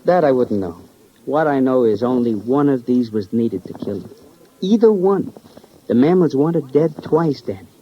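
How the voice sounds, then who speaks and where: An actor playing a weary, brooding medical examiner in a 1950s radio crime drama, speaking in halting, emphatic, oddly philosophical phrases. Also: male voice, medium pitch, lively intonation, slight background noise, close to an everyday voice. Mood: intense, brooding. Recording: dull old radio recording.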